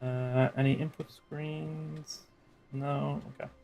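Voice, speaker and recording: A man chatting casually as he streams a game, in a low-key, hesitant and considerate way. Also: level tone, takes it slowly, gravelly, dark tone, soft background music. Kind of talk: casual live game playthrough chat.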